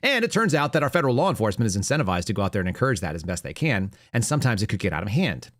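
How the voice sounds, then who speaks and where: A man's voice: fairly low pitch, very animated, racing, no room sound, studio quality. Blunt American man hosting a talk show. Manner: blunt, conversational, emphatic. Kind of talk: opinionated political commentary, unscripted monologue.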